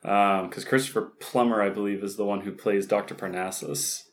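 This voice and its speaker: A man's voice: fairly low pitch, fairly even intonation, a little fast. A young man co-hosting a casual film podcast, rambling and correcting himself as he talks. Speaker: podcast co-host. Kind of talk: casual chat about films.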